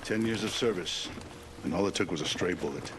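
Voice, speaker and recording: An actor playing the President in a game cutscene, speaking in a grave, commanding way. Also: male voice, deep voice, a little fast, heavy background noise, natural voice.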